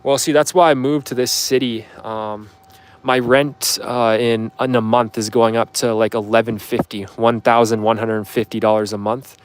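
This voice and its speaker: A man's voice: medium pitch, flat delivery, normal speed, clean tone. Rambling young man on a livestream. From Canada. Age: 20s. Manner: rambling, filler-heavy, offhand. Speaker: livestream host. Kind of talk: casual livestream chat reading viewer questions.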